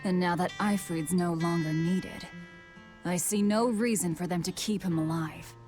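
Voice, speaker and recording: A woman's voice: fairly low pitch, fairly even intonation, normal speed, a little mellow, lightly acted. An actress playing a cynical, hard-edged young woman fighter in a video game, blunt and dry, with music underneath. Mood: cool, blunt. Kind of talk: English video game dub acting.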